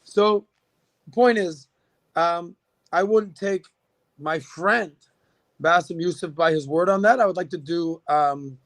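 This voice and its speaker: A man with a high, gravelly voice makes his case in a casual podcast talk, piling up figures and dropping in fillers and restarts. The room sounds dry and close to the mic. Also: a little slow, long pauses, fairly bright tone, no room sound. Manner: opinionated, argues with numbers, many fillers. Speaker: podcast guest. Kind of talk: casual political chat.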